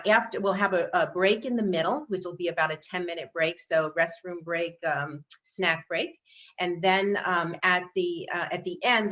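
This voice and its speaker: A woman's voice: on the low side, fairly bright tone. A woman hosting an online training event, giving chatty announcements with many fillers and restarts. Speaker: event host. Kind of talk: casual online meeting announcements. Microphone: video call. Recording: dull video call audio.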